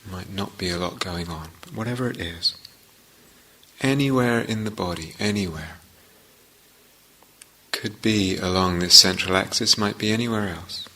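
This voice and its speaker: Man, low pitch, crisp bright tone, meditation teacher, gentle phrasing, long pauses. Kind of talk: guided meditation.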